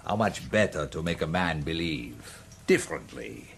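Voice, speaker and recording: Cold war villain in a radio play, really deep voice that swings around a lot, grandiose preachy true believer. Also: male voice, lively intonation, creaky, slight background noise, full character voice. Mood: grandly persuasive. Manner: measured, grandiose, pontificating. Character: persuasive, ideological male brainwashing mastermind, coolly menacing. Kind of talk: BBC radio drama acting.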